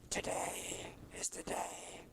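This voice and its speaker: An actor voicing a menacing reptilian creature hostile to humans, with a whispery, gravelly croak, in an audio drama. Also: close to an everyday voice. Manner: slow, menacing delivery.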